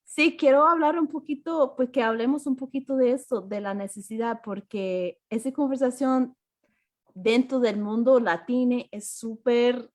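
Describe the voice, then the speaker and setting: A woman's voice: fairly high pitch, a little slow. Encouraging US-raised woman host. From United States. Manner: warm, encouraging, mixes Spanish with English. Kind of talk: casual conversation.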